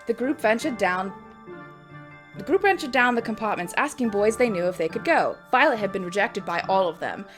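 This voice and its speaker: A woman's voice: mid-range voice, lively intonation, fairly quick, a bit bright. Theatrical, self-mocking woman reading over music. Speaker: reader and host. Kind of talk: dramatic reading of own fan fiction with wry asides.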